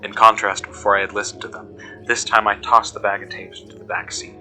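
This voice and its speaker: A man playing an uneasy investigator who recounts events in measured, deliberate horror narration. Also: on the low side, level tone, bright, forceful tone, low-quality recording. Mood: measured unease. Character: uneasy male investigator recounting being followed. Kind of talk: scripted first-person horror narration. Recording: music behind the voice.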